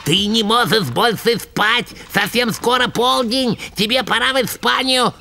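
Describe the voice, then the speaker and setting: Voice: medium pitch, a little slow, bright, forceful tone, slight background noise. An actor voicing a bossy, fussy little mouse in a cartoon dub, scolding and urging in exclamations. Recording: music behind the voice.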